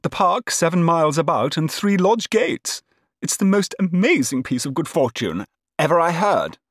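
A man's voice: mid-range voice, animated, normal speed, breathy edge, dry recording, clean studio sound, voice pushed up. A man voicing a gushing, worldly middle-aged society lady in a period novel, with breathless, emphatic exclamations. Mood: tart, impressed. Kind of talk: dramatised period novel narration.

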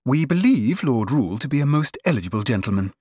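A prim young lady of a period story, acted by a male narrator. The pitch is lifted from his own and the delivery is intoned and reproachful. The recording is dry. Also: very animated, fast, dark tone, husky, no room sound. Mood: reproving. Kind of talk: dramatised period novel narration.

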